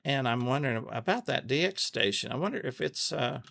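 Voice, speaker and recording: A man giving a casual, unscripted tutorial, thinking aloud haltingly and repeating phrases as he goes. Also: medium pitch, animated, vocal fry, a bit bright, dry recording. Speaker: amateur radio tutorial host. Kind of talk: casual unscripted screen walkthrough.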